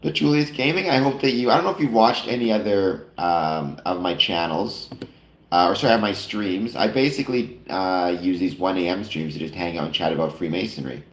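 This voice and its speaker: Low-voiced, rambling man. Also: animated, brisk. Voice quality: nasal. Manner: rambling, many fillers and self-corrections. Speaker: live stream host. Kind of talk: casual late-night chat stream, reading viewer comments. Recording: roomy echo.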